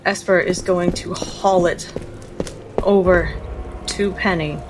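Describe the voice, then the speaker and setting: A woman playing a tabletop roleplaying game, telling what her character does in the third person with deliberate, building dramatic phrasing. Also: fairly low pitch, steady tone. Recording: voice over faint background music.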